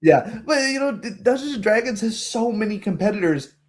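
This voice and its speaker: A man talking casually and opinionatedly as a streamer hosting a tabletop game group, with animated, ranting delivery. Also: high voice, brisk.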